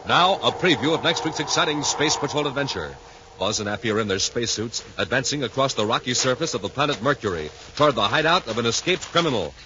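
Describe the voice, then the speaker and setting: A man announcing and pitching enthusiastically in showy 1950s radio style, on an old recording. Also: lively intonation, quick pace, dull audio, background noise.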